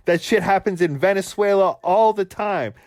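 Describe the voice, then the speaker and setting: A man co-hosting a sports talk podcast who argues heatedly and swears constantly, ranting at his brother with forceful conviction. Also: sits high, normal speed, no room sound. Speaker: podcast co-host.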